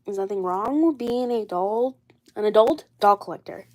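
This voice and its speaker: A woman in her mid-twenties talks casually on a phone livestream, her voice froggy and hoarse from a cold. She rambles, circles back and repeats herself, sometimes a little defensive. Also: medium pitch, animated, moderate pace, darkish tone. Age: 20s. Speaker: doll collector livestreaming.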